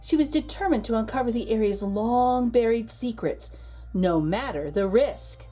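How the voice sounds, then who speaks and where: A woman reading a children's picture book aloud as a storyteller, expressive, with dramatic emphasis and pauses. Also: a little high, slightly rough, faint music behind. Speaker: read-aloud host and storyteller.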